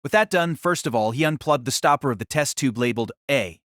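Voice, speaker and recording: A man's voice: mid-range voice, lively intonation, a little fast, fairly bright tone, dry recording, clean studio sound. A man narrating a novel as a scripted audio drama.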